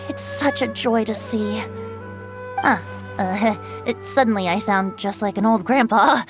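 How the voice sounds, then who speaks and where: High, playful boyish bard god. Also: female voice. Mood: fond, gentle. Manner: playful, breezy, theatrical. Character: youthful male wind god bard, playful, boyish, wine-loving. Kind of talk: video game character dialogue. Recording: studio voice over game music.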